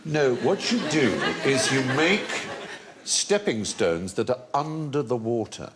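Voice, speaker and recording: A man's voice: medium pitch, expressive, unhurried, a loud crowd, heavy background noise. A man with an English accent hosting a comedy panel show, explaining things in an erudite, easily amused way. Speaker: panel show host, quizmaster.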